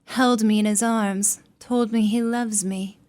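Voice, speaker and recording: A woman's voice: mid-range voice, fairly even intonation, measured pace, darkish tone, close to an everyday voice. An actress playing a vain, lovestruck young lady, haughty and insistent, in radio melodrama. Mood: defiant, triumphant. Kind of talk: melodramatic old-time radio drama acting.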